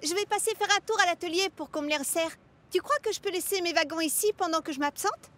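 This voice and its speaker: A busy, friendly cartoon lady locomotive. The voice sits extremely high. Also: fast talker, a bit bright. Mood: chatty.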